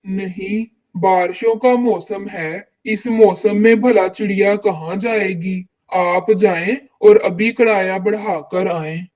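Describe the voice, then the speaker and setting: Someone doing a lady crow in a cartoon, the landlord's wife, slow delivery, slightly dull recording. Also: mid-range voice, steady tone, lightly acted. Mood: scheming. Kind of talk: Hindi cartoon dialogue.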